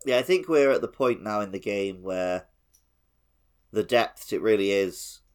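A man co-hosting a podcast, chatting casually about a video game and tossing in quick reactions. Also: fairly low pitch. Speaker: podcast co-host.

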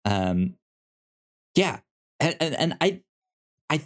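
A man co-hosting a casual movie review discussion, speaking in a halting way with many repeated words and restarts. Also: a little high, very animated. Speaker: podcast co-host reviewing movies.

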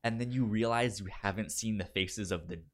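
A man's voice: fairly low pitch, fairly quick. Man with a stutter chatting about film. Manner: stutters, with repeated sounds and blocks. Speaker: podcast host. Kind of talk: casual film discussion.

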